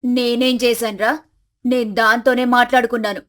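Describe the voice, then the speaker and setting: A high-voiced, feisty older village matriarch played by a woman for an animated family story. She grumbles and declares her grievances with indignant self-importance. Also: normal speed, close to an everyday voice. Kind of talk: animated moral story acting.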